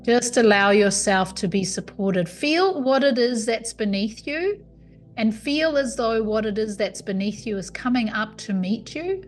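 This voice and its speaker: Woman guiding a calm meditation over music. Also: fairly high pitch. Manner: calm, soothing, unhurried instruction. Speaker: yoga nidra meditation guide. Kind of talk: guided meditation.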